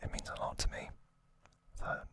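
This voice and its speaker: A man hosting ASMR in a breathy, almost entirely unvoiced whisper, speaking gently and unhurriedly to his viewers. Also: moderate pace. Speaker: ASMR host.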